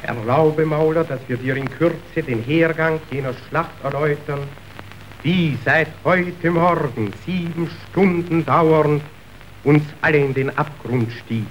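An actor playing a formal messenger from the stock exchange, declaiming verse in a radio drama. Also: male voice, fairly high pitch, unhurried, slight background noise, natural voice, low-quality recording. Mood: grave, formal.

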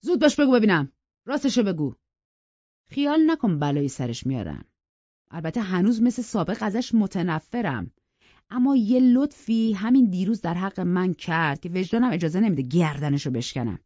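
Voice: mid-range voice, sing-song, mellow, dry recording, lo-fi sound, voice pushed up. A narrator playing a surly, bullying elder brother. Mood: angry, threatening.

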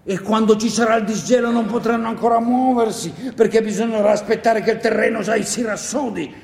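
A man's voice: high-pitched, fairly even intonation, fairly quick, creaky, darkish tone. Wheedling countryman in an echoey hall. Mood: agitated, urgent. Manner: hesitant, repetitive, coaxing, full of asides. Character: wheedling, rambling country man urging a young partisan to hide. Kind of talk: theatrical monologue, radio stage drama. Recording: echoey hall, long reverb, faint noise.

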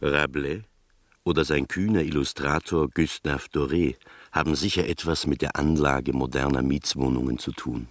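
A man playing the reflective narrator of an eccentric mystery tale in a radio drama, measured and deliberate. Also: a bass voice, fairly even intonation, no room sound. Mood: wry, musing.

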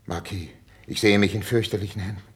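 An actor playing a proud, jealous older king in a classical radio drama, imperious and theatrical, swinging from hushed menace to outbursts. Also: fairly low pitch, steady tone, fast, lightly acted. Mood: hushed, suspicious. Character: proud, jealous, volatile older Spanish king, tormented by suspicion. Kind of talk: 1950s German classical radio drama acting.

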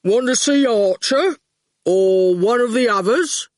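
A woman voicing a huge, dim, grinning male goon who talks in slow, blunt, clipped phrases in a storybook reading. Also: on the high side, expressive, dry recording, clean studio sound, pitched above the performer's own voice. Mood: enticing. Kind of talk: audiobook character voice.

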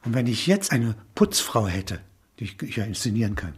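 A man in reflective intellectual conversation, musing in halting phrases punctuated with small affirming tags. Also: on the low side, very animated, husky. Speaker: interviewee, filmmaker.

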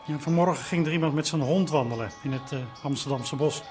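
An actor playing a matter-of-fact police detective in a Dutch radio drama, speaking in a businesslike way. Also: male voice, on the high side, fast, a little mellow, faint music behind, lightly acted. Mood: probing.

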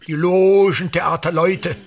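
An actor playing a pompous, embittered, self-pitying touring actor, grumbling and declaiming in a theatrical radio play monologue. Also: male voice, high voice, fairly even intonation, slow, heavily acted. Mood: wistful, bitter.

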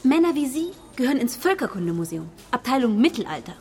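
A woman's voice: high voice, quick pace. An actress playing a brusque, officious policewoman with a curt, sarcastic manner in a detective radio play. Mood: scornful, irritated. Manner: curt, stern, deadpan. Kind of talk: German-language detective radio play acting.

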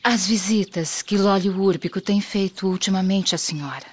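A woman acting a gentle, devout young Roman daughter in a radio drama, speaking with formal diction. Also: mid-range voice, normal speed, slightly rough, close to an everyday voice. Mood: gently anxious. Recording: muffled recording with birdsong ambience.

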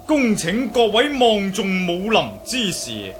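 Elderly monk, Cantonese accent, solemn, pious, slow delivery, bright high voice, radio drama. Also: male voice, sing-song, noisy background, full character voice. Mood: courteous, solemn. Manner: formal, measured, pious. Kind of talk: Cantonese martial arts radio drama acting.

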